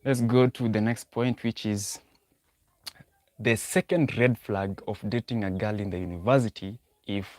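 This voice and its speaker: A man's voice: fairly low pitch, fairly even intonation, unhurried, darkish tone, dry recording. A young man hosting a livestream, talking casually about dating advice, repeating himself as he thinks aloud and answering chat. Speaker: livestream host.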